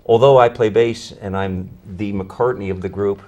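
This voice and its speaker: A man with a low voice talks eagerly about his tribute band, the bass player of the group. He often repeats words as he gets going, and his enthusiasm carries through. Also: fairly even intonation, normal speed, warm tone. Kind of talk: interview conversation.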